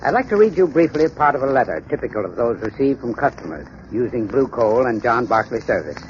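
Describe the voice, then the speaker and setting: A man's voice: mid-range voice, sing-song, fast talker. A man giving a formal, courteous, scripted advertising talk as an expert on 1930s radio. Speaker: sponsor's heating expert. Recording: dull, hissy 1930s radio recording.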